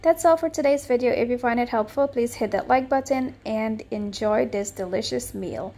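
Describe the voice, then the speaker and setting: A woman's voice: on the high side, normal speed, dark tone. A woman hosting her own cooking channel, giving a friendly, upbeat voice-over as she walks through a recipe step by step.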